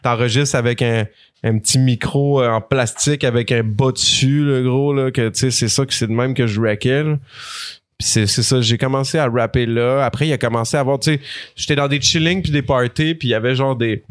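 A man's voice: mid-range voice, fairly even intonation, a little fast. Slangy man in his thirties. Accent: Quebec French. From France. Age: 30s. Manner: rambling, slangy, full of fillers. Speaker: guest rapper. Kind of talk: casual podcast chat.

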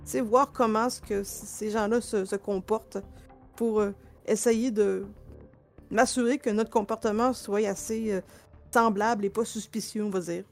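A woman's voice: mid-range voice, fairly even intonation, moderate pace, soft background music. A woman, talking as a player in a tabletop game, hesitant and searching for words. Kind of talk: casual chat at a tabletop game.

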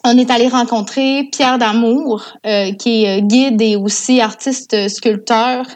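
High-voiced Quebec woman telling stories casually. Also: steady tone, unhurried. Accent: Quebec French. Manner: enthusiastic. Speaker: interview guest. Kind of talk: casual conversational storytelling.

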